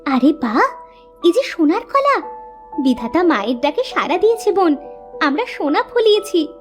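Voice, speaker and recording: A woman's voice: very high-pitched, sing-song, normal speed, soft background music. A woman voicing a sweet, kind-hearted village girl in a children's cartoon, exclaiming and earnest. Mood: joyful, grateful. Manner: bright, exclaiming, pleading. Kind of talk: children's moral cartoon acting.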